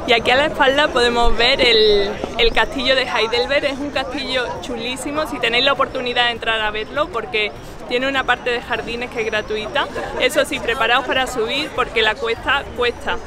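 A woman's voice: fairly high pitch, fairly even intonation, fairly quick. A woman in her thirties with a Spain Spanish accent, cheerfully explaining places and food as a travel vlogger filming herself. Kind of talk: casual travel narration to camera. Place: outdoors in old town streets and shops. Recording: background music and crowd chatter.

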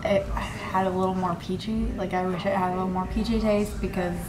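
A woman's voice: mid-range voice, level tone, fairly quick, crowd noise. A young American woman chatting casually and informally in a rambling vlog style, recorded on a camera microphone. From United States, Indiana. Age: young adult. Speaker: vlogger. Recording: roomy echo, noisy handheld camera audio.

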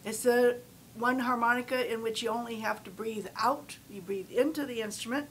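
Older woman explaining bass harmonica. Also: moderate pace, a little hiss or hum, rough recording. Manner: plain, informative explainer. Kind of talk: instrument demonstration. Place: convention room with dark curtains.

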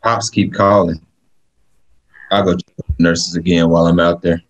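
A man's voice: low-pitched, little pitch movement, normal speed, close to an everyday voice, rough recording. A young man reading the part of a worried, frustrated man in a script table read. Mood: concerned. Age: young adult. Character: worried, frustrated man pressing hospital staff for help. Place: small plain room indoors, on a video call.

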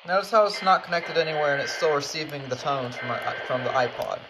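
A man's voice: on the high side, fast, background noise. A man giving a casual hands-on tutorial as a video creator, recorded indoors at home on a handheld camera. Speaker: video creator demonstrating radios.

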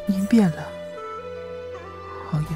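A woman playing a frail, meek young male opera player who pleads haltingly in a costume audio drama. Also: medium pitch, over music, natural voice. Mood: hurt, wondering.

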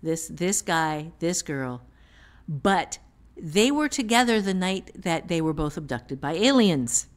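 Low-pitched woman teaching fiction writing. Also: very animated, takes it slowly. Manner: explains step by step with examples. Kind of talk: casual explanatory podcast talk.

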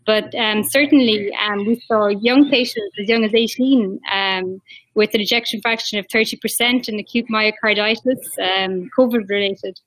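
A woman's voice: medium pitch, fairly even intonation, moderate pace, fairly bright tone, dry recording, low-quality recording. A woman in her forties with an Irish accent, a cardiologist talking fluently on a video call, often repeating words as she searches for them. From Ireland. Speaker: interventional cardiologist. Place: small room indoors. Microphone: video call, laptop mic.

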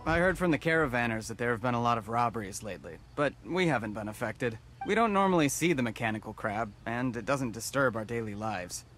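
Man voicing a steady village informant. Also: mid-range voice, fast, few pauses, soft background music, natural voice. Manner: explanatory, steady.

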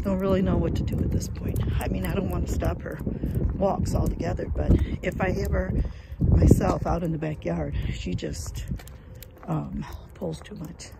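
A woman's voice: fairly low pitch, creaky. An older woman chatting casually and rambling along as she films on a phone outdoors while walking a dog. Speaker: vlogger. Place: outdoors on a rural driveway on a windy day. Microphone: handheld phone. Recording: loud wind and outdoor noise.

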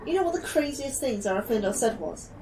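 Anxious female astrobiologist, actress, higher-pitched, guilt-ridden, sci-fi horror drama, noticeable background noise. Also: expressive, a little fast, natural voice. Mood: angry, pleading. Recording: clear background noise and ambience.

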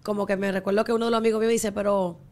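A woman's voice: medium pitch, little pitch movement, rapid-fire, darkish tone. A young woman with a Dominican accent, chatting as a guest on a studio podcast and slipping English words into her Spanish. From United States, Miami area. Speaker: guest, singer. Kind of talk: casual podcast interview. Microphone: studio microphone on stand.

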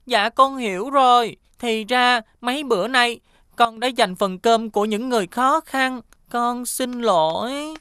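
Bright, high-voiced little cartoon boy. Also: a little slow, clear, resonant tone, dry recording, clean studio sound. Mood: earnest. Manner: exclamatory, childlike phrasing. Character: cheerful eager little boy, a schoolchild. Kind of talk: children's cartoon voice acting.

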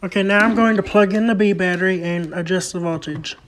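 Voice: medium pitch, brisk, slight background noise. A hobbyist host giving a hands-on repair demonstration, explaining each step in a plain way. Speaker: vintage radio repair hobbyist and video host.

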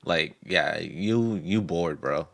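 A man's voice: extremely low pitch, slow pace, vocal fry, fairly bright tone, slight background noise. A young man streaming from a home room at a desk mic, reacting in loose, incredulous slang. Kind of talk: casual reaction commentary. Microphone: studio mic at desk, wearing headphones.

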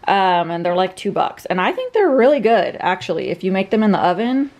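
A woman's voice: mid-range voice, sing-song, brisk, a little mellow, slight background noise. A young woman chatting casually in her home kitchen while showing off her weekly groceries, upbeat and rambling. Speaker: vlogger. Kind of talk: casual grocery haul chat.

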